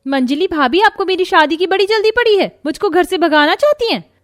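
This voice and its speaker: A woman's voice: very high voice, animated, brisk, a bit bright, pitched above the performer's own voice. A woman voicing a haughty, spoiled young unmarried sister-in-law in a cartoon, with whiny, sneering taunts. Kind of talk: cartoon voice acting.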